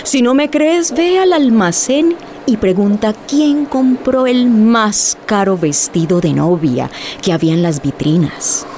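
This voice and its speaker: An actress playing a jealous, proud young wife in a radio drama, emphatic and reproachful. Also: female voice, fairly high pitch, unhurried, warm tone, slight background noise. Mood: smug, placating.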